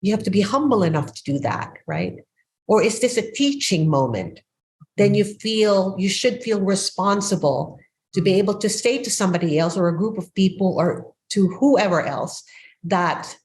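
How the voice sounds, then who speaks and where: A woman's voice: medium pitch, big pitch swings, moderate pace, darkish tone. A woman in her sixties from the Philippines, hosting a podcast and speaking earnestly and reflectively. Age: 60s. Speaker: podcast host. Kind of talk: casual podcast conversation.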